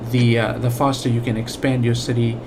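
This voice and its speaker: Rambling South African gamer, noisy recording. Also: male voice, medium pitch, level tone, brisk. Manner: rambling, thinks aloud with many fillers and restarts. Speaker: gaming channel host. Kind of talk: casual let's play commentary.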